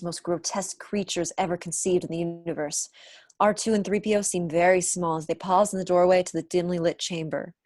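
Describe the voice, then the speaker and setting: A young woman narrates a screenplay from home on a video call. Her voice sits fairly low, and the sound is dry. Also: a little mellow, dry recording. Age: young adult. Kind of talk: scripted narration, reading stage directions.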